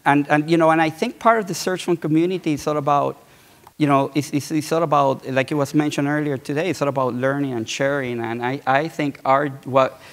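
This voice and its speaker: A man in his forties from Puerto Rico speaks on an auditorium stage through a lapel mic. His voice has a gravelly, fry-edged texture at a middle pitch. He talks loosely, with frequent restarts and repeated words. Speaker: guest entrepreneur. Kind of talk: conference fireside chat.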